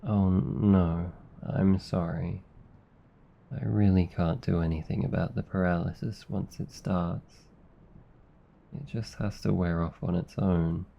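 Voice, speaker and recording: Deep gravelly Australian demon, acted by a man. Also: steady tone, slow pace, dark tone, heavily acted. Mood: soothing, tender. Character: male sleep paralysis demon, menacing yet awkwardly tender. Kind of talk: intimate ASMR roleplay acting. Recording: slightly dull, long reverb.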